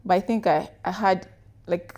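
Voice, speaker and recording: A woman's voice: lowish voice, steady tone, normal speed, darkish tone. A young woman from Nigeria, chatting as a podcast guest, laughing easily and restarting her words. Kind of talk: casual interview answers.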